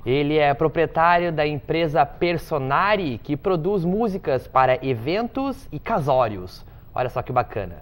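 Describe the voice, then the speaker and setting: Lively joking young male talk host. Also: on the high side, moderate pace, few pauses. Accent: Brazilian Portuguese. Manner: joking, teasing. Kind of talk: casual interview chat.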